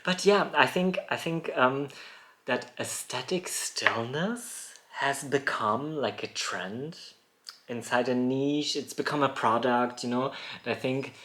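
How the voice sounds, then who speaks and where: A thirty-something man hosts a solo podcast from his rural home. He talks in a thoughtful, musing way, laughing softly now and then. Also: medium pitch, takes it slowly, slight background noise. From born in Poland, raised in Germany. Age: 30s. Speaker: podcast host. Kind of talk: reflective solo podcast talk.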